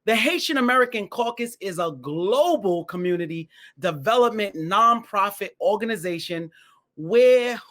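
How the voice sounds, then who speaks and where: A man's voice: high voice, fairly bright tone. A man hosting an online interview show, upbeat and enthusiastic, often repeating phrases for emphasis. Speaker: host.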